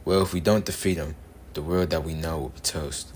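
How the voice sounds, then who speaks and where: A man's voice: very deep voice, fairly even intonation, brisk, slight background noise. A man voicing a blunt, grudgingly helpful anime fighter in a casual, informal delivery. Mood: serious. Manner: casual scolding, repeated takes.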